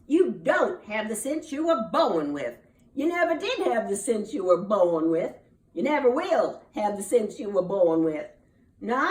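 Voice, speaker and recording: An exasperated storybook mother opossum, acted out by a woman, scolds in a high, swooping voice. She is loud and dramatic, drawing out her words for emphasis. The sound is roomy and distant from the mic. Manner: exclaiming, drawn-out dramatic scolding with emphatic repetition.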